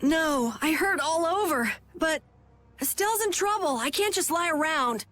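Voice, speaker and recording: A woman's voice: sits high, animated, normal speed, fairly bright tone, a little hiss or hum. A woman voicing a plucky young adventurer in a video game. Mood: pained, determined. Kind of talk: game cutscene voice acting.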